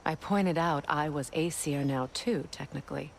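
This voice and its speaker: A woman playing a proud, wistful Norse goddess and weary former queen in a video game, speaking in a measured, solemn way. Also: low voice, gravelly, a little hiss or hum. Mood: wry, measured. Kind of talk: dramatic video game acting.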